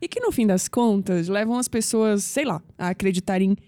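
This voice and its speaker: A woman's voice: mid-range voice, animated, moderate pace, mellow, dry recording, clean studio sound, lightly acted. A woman with a Brazilian Portuguese accent playing a skeptical, dryly sarcastic young woman in an audio drama. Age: young adult.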